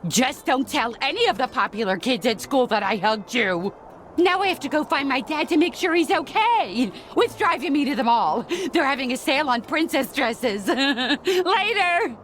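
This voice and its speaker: A woman's voice: high-pitched, big pitch swings, normal speed, fairly bright tone, a little hiss or hum. A woman voicing a spoiled, dramatic teenage whale girl in a cartoon video game, talking like a valley girl. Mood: grudging.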